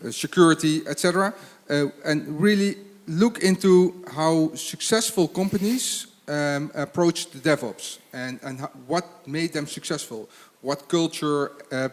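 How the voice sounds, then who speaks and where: A man, a consultant, presenting at a conference, speaking haltingly with many fillers and restarts. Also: a little high. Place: conference hall with audience. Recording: long hall reverb.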